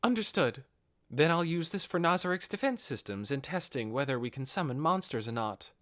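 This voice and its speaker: A man voicing an adoring, eager female servant of a dark lord in an audiobook, with a formal, devoted manner. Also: a little high, big pitch swings, fairly quick, dry recording, clean studio sound, voice pushed up. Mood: dutiful.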